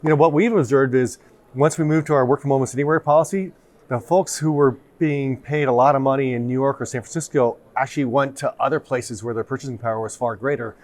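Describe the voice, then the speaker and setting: A middle-aged man answering interview questions in a conference studio. He speaks in a plain, matter-of-fact way with an optimistic lift, his pitch sitting in an ordinary middle range. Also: fairly even intonation, fast, darkish tone. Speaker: company CEO interviewed. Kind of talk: conversational interview.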